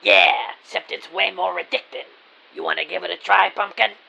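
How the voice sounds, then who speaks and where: A man voicing a gruff, brash middle-aged woman shopkeeper in an audio drama, with a raspy voice and brusque, slangy, sardonic delivery. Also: sits high, big pitch swings, moderate pace, bright, forceful tone, dull audio, exaggerated voice. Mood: gruff salesmanship. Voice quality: gravelly, raspy. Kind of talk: audio drama character acting.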